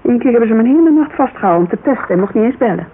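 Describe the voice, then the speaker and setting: A woman's voice: on the high side, lively intonation, racing, lightly acted. An actress playing a guarded, candid agency owner in her thirties in a naturalistic Dutch radio drama, speaking colloquially and searching for words. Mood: fond, wistful. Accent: Dutch, Netherlands. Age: 30s. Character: thirty-three-year-old Amsterdam model agency owner, guarded, wistful, candid. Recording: narrow, hissy old radio recording.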